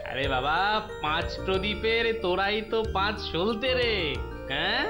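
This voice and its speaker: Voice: mid-range voice, animated, natural voice. An actor voicing a jovial grandfatherly village storyteller in a cartoon, teasing and avuncular. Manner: avuncular, teasing, drawn-out exclamations. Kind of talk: animated cartoon character acting and storytelling. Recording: music behind the voice.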